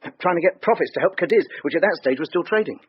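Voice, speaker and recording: An actor playing a nervous, evasive banker in a radio drama, hesitant and stammering out denials. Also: male voice, on the high side, lively intonation, fast, lightly acted. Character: nervous, evasive male banker under police questioning. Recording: dull, hissy radio drama recording.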